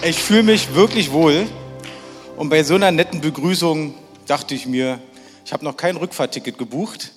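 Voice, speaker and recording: A middle-aged man preaches to a live church crowd and keeps cracking jokes and throwing questions at them. His voice sits a little high for a man. It rings with long reverb in a big hall. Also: normal speed, faint music behind. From Berlin, Germany. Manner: chatty, jokey, asks the audience questions. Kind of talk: lively sermon to a live audience.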